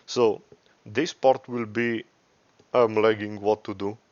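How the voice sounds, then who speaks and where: A man giving a casual, unscripted software tutorial in a rambling way, swearing and correcting himself as he goes. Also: lowish voice, fairly even intonation, takes it slowly, dry recording, lo-fi sound. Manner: rambling, profane, self-correcting. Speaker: tutorial presenter.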